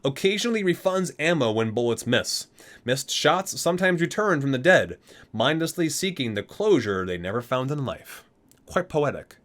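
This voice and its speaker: Male ASMR reader, medium pitch, lively intonation, expressive recitation with offhand asides. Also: normal speed. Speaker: video creator reading aloud from a game guide book. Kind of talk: ASMR reading with casual asides.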